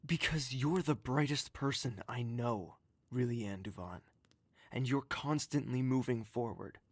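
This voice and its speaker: Gentle courtly man, dry sound. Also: medium pitch, unhurried, no room sound. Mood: reassuring. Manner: soft, earnest, reassuring, formal. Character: gentle, courtly, protective confidant with a wounded past. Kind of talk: earnest audio drama acting.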